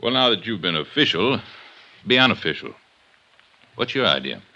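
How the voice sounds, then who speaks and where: A hard-nosed, suspicious police inspector from 1950s radio drama. His voice sits very low and cuts with sharp, forceful edge. He is blunt and sardonic, on a dull vintage recording. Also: sing-song, normal speed, full character voice. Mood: dry, sardonic.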